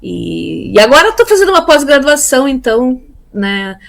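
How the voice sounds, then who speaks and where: A woman in her fifties from Brazil, telling her life story in a relaxed interview, pausing often with fillers and repeated words. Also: high-pitched, gravelly. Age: 50s. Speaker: interviewee, theology graduate. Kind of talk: casual conversational interview.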